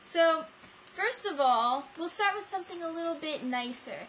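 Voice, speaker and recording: A young woman with a high-pitched voice tells stories casually and comically. She slips into mock quotes with slangy, animated delivery. The recording is amateur, with some noise underneath. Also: moderate pace. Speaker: vlog co-host. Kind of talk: casual comic retelling of fairy tales.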